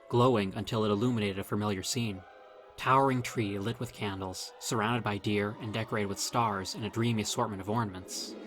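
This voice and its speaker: Man narrating horror over soft music. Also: lowish voice. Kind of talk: scripted first-person horror narration.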